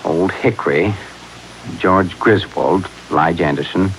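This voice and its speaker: A man's voice: low voice, slow. An actor playing a vain, nervous, hesitant henchman in a 1940s radio crime drama. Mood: fussy, uneasy. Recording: hissy, noisy 1940s radio recording.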